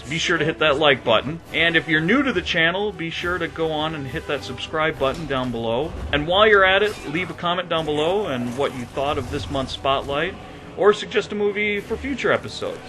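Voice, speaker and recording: A man hosting a video review show, giving conversational commentary in an earnest, emphatic and enthusiastic manner. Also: fairly high pitch. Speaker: host of game movie review show.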